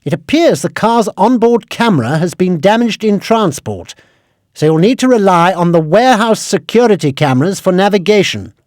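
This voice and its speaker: An actor playing an exasperated older British gadget quartermaster in a video game, formal, precise and scolding. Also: male voice, high-pitched, expressive, moderate pace, a little mellow, no room sound, studio quality. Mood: officious briefing. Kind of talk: video game mission briefing.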